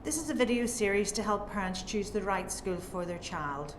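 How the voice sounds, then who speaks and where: A woman interviewer asking clear, direct questions from a script. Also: medium pitch, animated, moderate pace, reverberant, a little hiss or hum.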